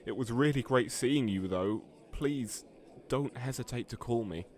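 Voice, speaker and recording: A man's voice: mid-range voice, unhurried, natural voice. A man playing a supportive, concerned friend in an audio drama, speaking in an informal, matey way. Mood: caring.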